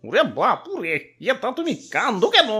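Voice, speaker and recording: A woman's voice: on the high side, very animated, brisk, bright, forceful tone, breathy edge, heavily acted. A woman playing an easily startled, thrifty elderly market customer in a cartoon, exclaiming with a Bangladeshi accent. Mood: dismayed. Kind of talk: comic cartoon acting.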